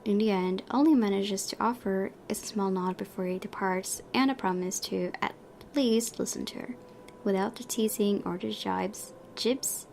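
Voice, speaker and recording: A woman's voice: mid-range voice, moderate pace, creaky, a little mellow, slight background noise. A young woman hosting a gaming video, reading story narration aloud and breaking into casual commentary.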